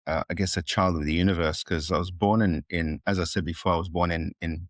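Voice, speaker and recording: A man's voice: deep voice, fairly even intonation, a little fast, no echo, studio quality. A man in his fifties from Moldova with an Australian accent, a guest chatting casually, rambling and joking with many restarts. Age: 50s. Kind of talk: casual interview chat.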